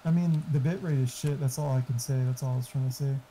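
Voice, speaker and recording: A man's voice: mid-range voice, steady tone, fast talker, warm tone. Muffled man chatting casually, noisy line. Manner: informal, explanatory, mildly profane. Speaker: friend giving tech advice. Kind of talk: casual chat.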